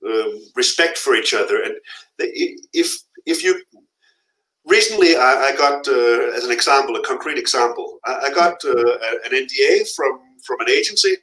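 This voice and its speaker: A man's voice: medium pitch, animated, moderate pace, bright, forceful tone. Blunt middle-aged man in an echoey room. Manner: frank, blunt warnings with repeated emphasis. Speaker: guest, freelance translator. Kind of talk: advice in a live interview.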